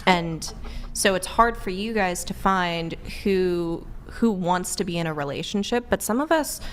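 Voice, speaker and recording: A woman's voice: lowish voice, lively intonation, measured pace, a little hiss or hum. A woman speaking reflectively as a guest on a panel discussion.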